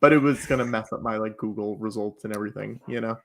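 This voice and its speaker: A man talking casually as a podcast guest, in a joking, animated way with chuckles slipping into his speech. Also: on the low side, fast, gravelly. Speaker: podcast guest and live improv comedy performer.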